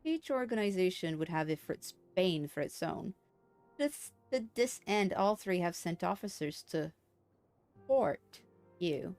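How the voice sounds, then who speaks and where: A woman's voice: fairly low pitch, lively intonation, darkish tone. A woman voicing a gracious, formal female leader from a fantasy game, reading in stately phrases. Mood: composed. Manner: formal, measured, stately wording. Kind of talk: video game dialogue read aloud. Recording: game music behind the voice.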